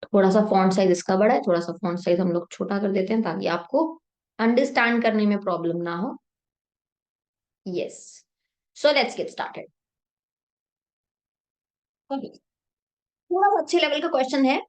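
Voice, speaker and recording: A woman's voice: mid-range voice, animated, measured pace, long pauses, a little mellow. A young woman math teacher with a sore throat, explaining a problem step by step in a mix of Hindi and English and answering her own questions.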